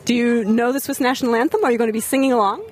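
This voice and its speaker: A woman's voice: a little high, very fast, some chatter behind. A woman reporter asking short questions in street interviews outdoors. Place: outdoors at a gathering.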